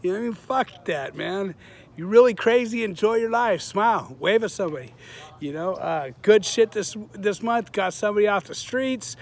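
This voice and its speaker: A man's voice: high voice, lively intonation, moderate pace. Man rambling earnestly outdoors into a phone. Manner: loose, rambling, profane, earnest. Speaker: vlogger, channel host. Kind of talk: casual selfie vlog to viewers. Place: outdoors on a sunny suburban street. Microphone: phone held at arm's length.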